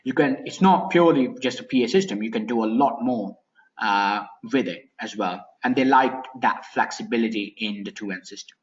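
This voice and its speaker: A man's voice: medium pitch, moderate pace. Man presenting a webinar, dull lo-fi sound. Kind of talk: informal technical product presentation.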